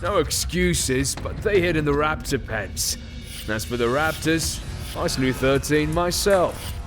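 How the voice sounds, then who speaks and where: A proud dragoon who answers to his chief in a fantasy audio drama. The voice is somewhat high and very unhurried, solemn and deliberate, over clear music. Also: animated. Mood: proud, apologetic. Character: proud, deferential male dragoon warrior.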